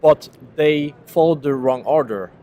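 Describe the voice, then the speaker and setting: A man's voice: on the high side, unhurried, dark tone, dull audio. A man giving advice in casual conversation, explaining earnestly and acting out example dialogue.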